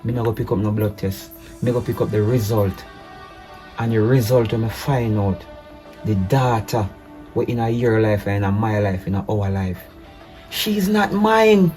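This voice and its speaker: A man playing a hurt, betrayed husband, speaking low and measured, then forcefully. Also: faint music behind, close to an everyday voice. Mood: accusing. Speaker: husband in a domestic drama skit. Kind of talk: improvised-sounding skit acting.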